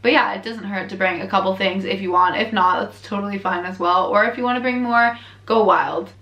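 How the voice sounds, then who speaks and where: A woman's voice: medium pitch, steady tone, brisk, fairly bright tone. A young Canadian woman vlogger with a Canadian accent, chatting candidly and laughing often as she reacts to her own earlier video. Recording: echoey room.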